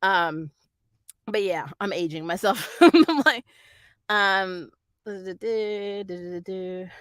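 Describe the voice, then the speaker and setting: A woman's voice: medium pitch, slow, smooth, dry recording. Chatty, sweary woman, dry close sound. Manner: rambling, candid and sweary, chuckling often. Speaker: host of a TV and film review channel; actor who auditions. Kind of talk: casual unscripted livestream chat.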